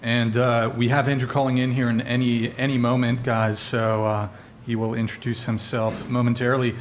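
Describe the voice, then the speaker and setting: Male podcast host, easygoing and halting. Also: medium pitch, slight background noise. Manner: relaxed, hesitant, lots of fillers. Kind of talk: casual panel chat.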